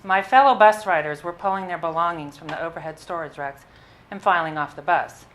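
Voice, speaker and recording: A woman reading her own first-person story at a live literary event, in a measured, expressive way with the odd small stumble. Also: on the low side, rough recording.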